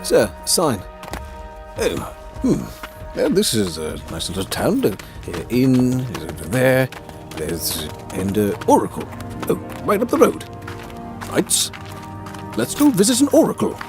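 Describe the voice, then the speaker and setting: Man acting a good-natured, bumbling knight commander, lively pitch. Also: a little slow, pauses often, music in the background. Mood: flustered, friendly. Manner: hesitant, stumbling over his words. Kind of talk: fantasy audio drama acting.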